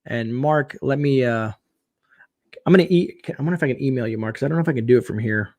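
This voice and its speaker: A man hosting a casual late-night livestream, chatting and reading viewer comments aloud, his voice getting hoarse. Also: medium pitch, fast talker, a little mellow. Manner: chatty, reads viewer comments aloud, frequent fillers and laughs. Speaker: livestream host.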